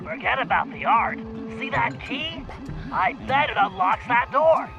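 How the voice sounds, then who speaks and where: A brainy, anxious little turtle from a cartoon video game. His voice is very high and nasal, and he speaks in precise, wordy instructions. Music plays clearly behind him. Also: expressive, a little slow, dull audio, full character voice. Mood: excited, urgent. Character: brainy, anxious male turtle tech sidekick giving mission orders. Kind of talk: animated video game dialogue.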